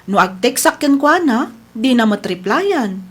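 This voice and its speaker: An innocent younger sister, soft-spoken and often puzzled, as a woman plays her in a radio drama. Her voice is high and gentle. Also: big pitch swings, a little slow, husky, exaggerated voice. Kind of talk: Ilocano radio drama acting.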